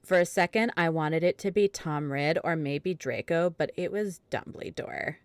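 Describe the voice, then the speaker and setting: A woman with a low voice narrates a fan fiction story. She reads the absurd text straight-faced, in a dry, close sound. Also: moderate pace, no echo. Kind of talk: dramatic reading of fan fiction.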